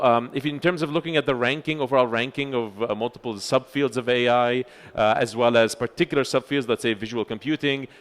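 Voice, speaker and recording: A man giving a conference talk as chair of a research center, speaking loudly into a microphone with frequent filler sounds and restarts. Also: mid-range voice. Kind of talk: conference presentation. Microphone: handheld or stage microphone.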